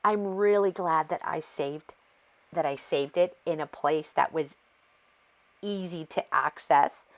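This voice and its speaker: An American woman with a congested, sore-throat voice, chatting casually and rambling as she narrates a craft process. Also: deep voice. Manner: chatty, rambling, easily sidetracked. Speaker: craft video host.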